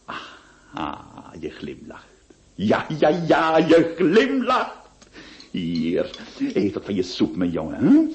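An actor playing a scheming notary who swings between syrupy coaxing and gleeful threats. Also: male voice, lowish voice, huge pitch swings, takes it slowly, highs cut off, exaggerated voice. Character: scheming middle-aged male notary, wheedling yet menacing. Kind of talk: radio drama acting.

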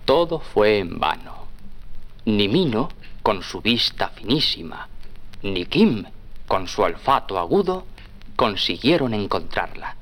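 A man's voice: lowish voice, very animated, slow, fairly bright tone. A man narrating a scripted children's story as the storyteller.

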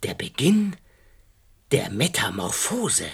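An actor playing an earnest, awed lodger in a radio drama, grave and halting, with a breathy, whispery voice. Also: male voice, on the low side, huge pitch swings, full character voice. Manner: halting, hushed, grave, deliberate. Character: earnest male lodger and butterfly breeder, awed by dread. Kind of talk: German radio drama acting.

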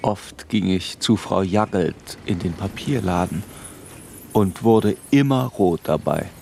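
A man's voice: deep voice, slow, gravelly, darkish tone, a little hiss or hum, full character voice. An actor narrating as a reflective, melancholy man looking back on his youth. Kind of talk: scripted first-person literary narration.

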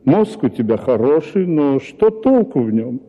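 A man's voice: medium pitch, very animated, unhurried. Man lecturing in a tinny hall. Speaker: actor reading a story. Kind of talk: radio drama reading. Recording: tinny radio broadcast with hall reverb.

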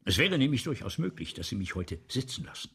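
An actor playing an agitated, grandiose and paranoid playwright in a German radio play, declaiming fretfully and repeating himself. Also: male voice, medium pitch, very animated, fast, pushed and bright, slightly rough, exaggerated voice. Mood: anxious, irritated. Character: agitated, self-pitying playwright, grandiose and paranoid before his premiere.

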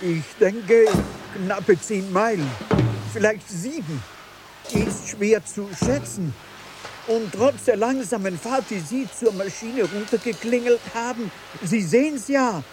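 Ein Schauspieler spielt im Hörspiel einen besorgten, förmlich und bedächtig sprechenden Lotsen im Sturm. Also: male voice, sits high, slow, over music. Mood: grave, tense. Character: measured, worried ship's pilot in a storm. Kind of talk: radio drama acting. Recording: clearly noisy ship sound effects.

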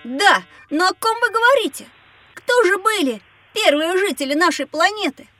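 A woman's voice: very high-pitched, expressive, moderate pace, pushed and bright, heavily acted. A woman voicing a curious, outspoken little boy in a children's educational audio drama, inquisitive and exclamatory.

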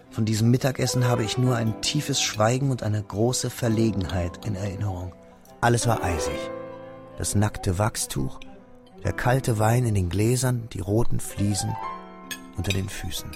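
A wistful grown man looks back on his schooldays in a radio drama. His voice sits low with a creaky grain, and he tells it in measured literary detail. Music plays clearly behind him.

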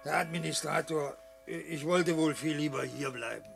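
An actor playing a homely, modest man in a radio play, with a gravelly voice and a folksy, plain-spoken manner. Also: a little high, moderate pace, music in the background. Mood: modest, reluctant. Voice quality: very creaky, gravelly. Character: homely, modest man, content with his lot. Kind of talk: German radio play acting.

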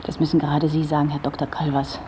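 A woman acting a career-hungry reporter in a radio drama, with a low-pitched voice. She is sardonic and provocative, pressing her accusations with pointed mockery. There is clear noise behind her. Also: level tone, fast talker, dark tone, close to an everyday voice. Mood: accusing, sardonic. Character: sharp, mocking career-driven female journalist confronting a writer. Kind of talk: German radio play acting.

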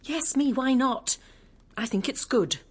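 An actress playing a worldly, frank bohemian singer in a radio drama, with a British accent and a wry, direct manner. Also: female voice, high-pitched, measured pace, husky. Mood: brisk, persuasive.

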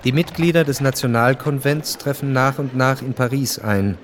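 An actor narrating a historical radio drama as a sober storyteller, measured and matter-of-fact. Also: male voice, medium pitch, slight background noise, close to an everyday voice.

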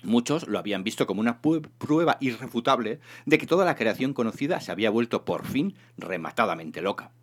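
A man reading a humorous science fiction novel aloud in an expressive, theatrical narration. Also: medium pitch, fairly quick, hardly any pauses. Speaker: reader of a serialized humorous sci-fi novel.

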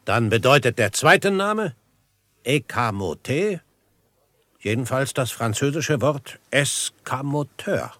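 A male actor playing a calm, shrewd frontiersman in a German radio drama, speaking in a measured, formal, explanatory way. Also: medium pitch, dry recording. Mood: composed, deducing. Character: Old Shatterhand, calm shrewd frontiersman, questioning and deducing.

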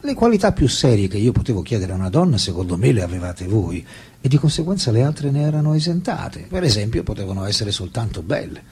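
Radio play: detached French intellectual, lively intonation, very raspy, candid and reflective. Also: male voice, mid-range voice, fast, exaggerated voice. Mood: intimate, musing. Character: French intellectual man recalling childhood and love affairs, candid, detached. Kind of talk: radio drama acting, memoir monologue and dialogue.

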